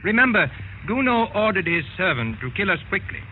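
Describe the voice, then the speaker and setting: An actor playing a grave, learned investigator recounting horrors in an old-time radio drama. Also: male voice, high-pitched, big pitch swings, fairly quick, narrow, tinny audio. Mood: solemn, reflective. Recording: thin, noisy old radio recording.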